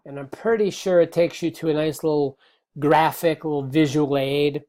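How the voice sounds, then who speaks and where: A middle-aged male biology teacher giving a recorded slide lecture, slightly hoarse, relaxed and explanatory with many fillers and restarts. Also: a little high. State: slightly hoarse, clearing throat.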